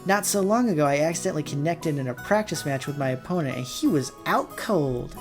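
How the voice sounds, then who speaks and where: A man's voice: a little high, lively intonation, brisk. A man voicing a formidable middle-aged elder sister, composed and scolding, in an amateur visual novel reading over music. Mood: coolly menacing.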